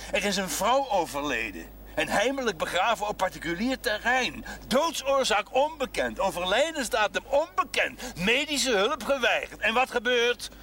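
An actor playing a principled, indignant village doctor in a television drama, speaking formally and emphatically. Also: male voice, sits high, bright, forceful tone, heavily acted. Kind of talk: 1970s television drama acting. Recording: faint noise on old TV audio.

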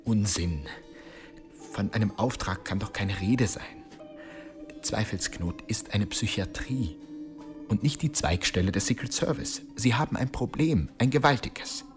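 A man's voice: lowish voice, measured pace, soft background music, natural voice. Stern, officious policeman played by a man. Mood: indignant, scolding. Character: stern, insistent male police doctor confronting a killer. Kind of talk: radio crime drama acting.

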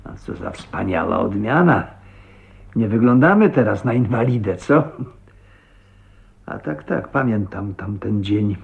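Gravelly, genial older doctor. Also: male voice, fairly low pitch, lively intonation, unhurried, pauses often. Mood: jovial. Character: genial, chatty older doctor, flustered and absent-minded. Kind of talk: radio drama acting. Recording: thin, phone-like old radio recording.

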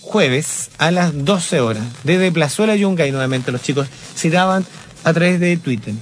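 A man's voice: on the high side, unhurried. A man hosting a radio show, reading news and statements aloud between jokes with his co-host. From Chile. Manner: jokey, reads statements aloud with banter.

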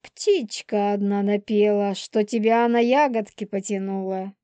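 A woman's voice: mid-range voice, fairly even intonation, measured pace, mellow, dry recording, natural voice. An actress playing a manipulative pregnant young werewolf woman in an audiobook, spiteful, bitter, taunting and theatrical. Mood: mocking. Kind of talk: dramatised audiobook dialogue.

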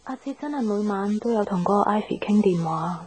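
A woman's voice: mid-range voice, expressive, a little fast, a little mellow, a little hiss or hum, close to an everyday voice. A woman acting a lonely, doubtful young girlfriend in a reflective radio drama monologue, with a Hong Kong accent. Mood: wistful. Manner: reflective, melancholy. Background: music.

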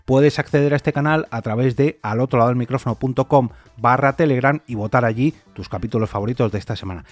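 A man's voice: medium pitch, a little mellow, no room sound. A man with an accent from Spain hosting a podcast in a chatty, informal, conversational way. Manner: chatty, informal, fond of diminutives. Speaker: podcast host and interviewer. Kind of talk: conversational podcast interview and sign-off.

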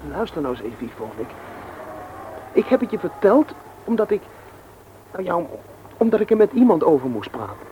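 Defensive young boyfriend, stammering, lively intonation, pleading, thin noisy radio drama sound. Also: male voice, high voice, brisk, long pauses, narrow, tinny audio. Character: defensive, nervous young man confiding in girlfriend. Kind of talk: radio play dialogue.

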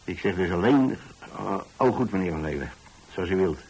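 Radio monologue: older tolerant landlord, husky, folksy, rambling colloquial storyteller with hesitant repetitions. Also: male voice, deep voice, lively intonation, normal speed, a little mellow, heavily acted, rough recording. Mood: mildly puzzled. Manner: folksy, hesitant, repeats himself. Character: rambling, tolerant retired landlord telling of his lodgers.

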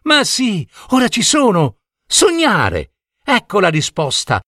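A man's voice: fairly high pitch, huge pitch swings, unhurried, fairly bright tone, no echo, clean studio sound, voice pushed up, exaggerated voice. A man voicing a bewildered young girl who speaks eagerly and full of wonder.

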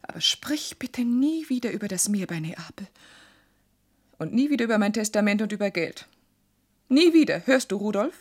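A woman's voice: medium pitch, expressive, moderate pace, close to an everyday voice. A woman in a German radio play, playing a sheltered, imperious, lovestruck spinster in an insistent, theatrical way. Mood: haughty, commanding.

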